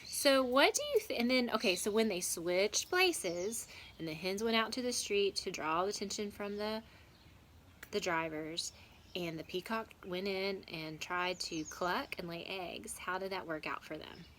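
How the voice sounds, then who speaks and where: A woman's voice: mid-range voice, lively intonation, normal speed, a bit bright. A woman teacher reading aloud and discussing with children in an instructive, questioning way, with some background noise. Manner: instructive, question-and-answer, checking understanding.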